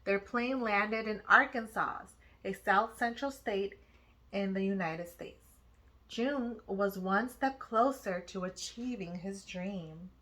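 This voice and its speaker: A woman reads a children's book aloud in a bright, clearly projected voice. Her phrasing is expressive and deliberate, aimed at young listeners, at a middle pitch. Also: rough recording. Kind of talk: storybook narration.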